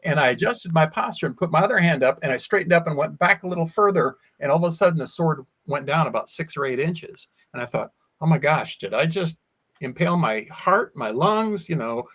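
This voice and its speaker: A man's voice: fairly high pitch, lively intonation, fairly quick, fairly bright tone, breathy edge. A man talking at length as an interview guest, telling personal anecdotes and often acting out his own past words. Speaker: guest, sword swallower. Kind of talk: animated anecdotal interview storytelling.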